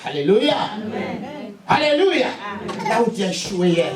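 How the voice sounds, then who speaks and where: Male preacher; lively sermon with hallelujahs, a congregation responding in a reverberant church. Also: sits high, unhurried, crowd noise. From Congo. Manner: fervent, punctuates with repeated hallelujahs, sometimes shouts; stumbles and repeats words. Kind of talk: sermon with personal testimony. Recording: roomy church hall, mic at a distance, congregation audible.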